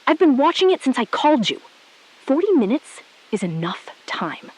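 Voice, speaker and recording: A calm and unyielding female astronaut played by an actress speaks in a fairly high voice. Her delivery is deliberate and precise, built on short, measured statements in the manner of a scripted audio thriller. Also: poor audio. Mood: coolly defiant. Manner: deliberate, measured, clipped declarative sentences. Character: calm, resolute woman astronaut-scientist, defiant and precise. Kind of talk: scripted audio drama acting.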